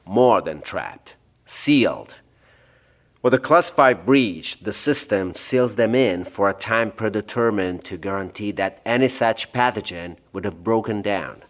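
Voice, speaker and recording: A man's voice: lowish voice, unhurried, close to an everyday voice. A man playing an anxious, self-justifying scientist with precise, formal diction in an audio drama. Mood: smug, defensive. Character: blinded, guilt-free scientist doctor, anxious and urgent.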